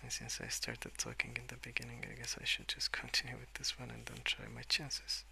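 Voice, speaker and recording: Male ASMR host: hushed, whispery murmur with very crisp bright edge, unhurried rambling delivery. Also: mid-range voice, big pitch swings. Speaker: host of an ASMR colouring channel. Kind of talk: soft-spoken ASMR rambling. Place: indoors at a wooden desk.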